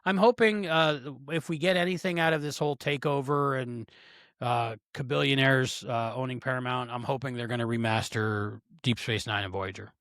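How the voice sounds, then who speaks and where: A middle-aged man co-hosting a fan podcast in casual chat, jokey, tossing in quick interjections. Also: no room sound, studio quality. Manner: jokey, quick interjections, lively swoops in pitch. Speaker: podcast co-host.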